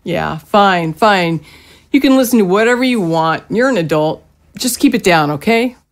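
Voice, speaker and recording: Actress as a fussing mother, medium-pitched voice. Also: animated, unhurried. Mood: weary, skeptical. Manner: hesitant, naturalistic, nagging gently. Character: fussing, mildly exasperated middle-aged mother of adult son. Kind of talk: naturalistic audio drama dialogue.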